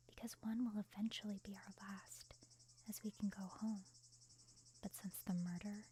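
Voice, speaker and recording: Woman acting mellow, aloof, brooding young photographer. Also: mid-range voice, slight background noise, natural voice. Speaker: voice actor in fan roleplay. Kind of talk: anime-style ASMR roleplay acting.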